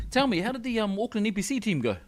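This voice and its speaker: A man with a slightly higher-than-usual voice. He teases his co-hosts and stumbles through fillers before landing his point. The tone is loose, casual sports-podcast banter. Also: sing-song. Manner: playful, teasing, halting with fillers. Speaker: podcast co-host. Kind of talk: casual chat.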